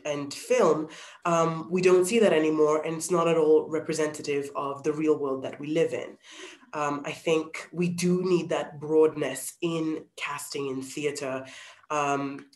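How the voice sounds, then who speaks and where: A woman in her thirties from Zambia, an actor giving thoughtful interview answers over a video call. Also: low voice. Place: small plain-walled room indoors. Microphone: computer mic on video call. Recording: roomy, echoey video call.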